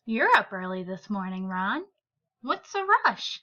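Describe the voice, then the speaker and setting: A woman voicing a caring, gently teasing mother in a children's storybook. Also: medium pitch, very animated, unhurried, lo-fi sound, pitched below the performer's own voice. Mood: caring, curious.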